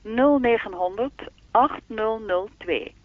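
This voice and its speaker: A woman playing a lonely speaking clock in a radio play, measured, formal and deadpan, through a telephone filter. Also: fairly high pitch, dry recording. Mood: formal, automatic. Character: lonely, evasive female speaking-clock voice with a will of its own. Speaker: telephone time announcer. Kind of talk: radio play acting, formulaic announcement turning to dialogue. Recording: narrow, tinny phone-line sound.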